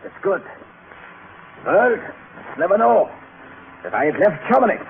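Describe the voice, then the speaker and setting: An actor playing a secretive, grandiose German fugitive, slow and portentous, in an old radio drama. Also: male voice, high-pitched, sing-song, gravelly, exaggerated voice. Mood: grandiose, uneasy. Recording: noisy, tinny old radio recording.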